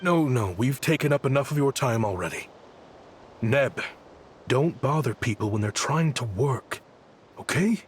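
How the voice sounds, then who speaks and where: A man playing a polite, protective guardian in a video game, speaking in a measured, guarded way. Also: mid-range voice, animated, a little hiss or hum, close to an everyday voice. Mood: apologetic, firm. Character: polite, reserved, protective male guardian of children. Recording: music behind the voice.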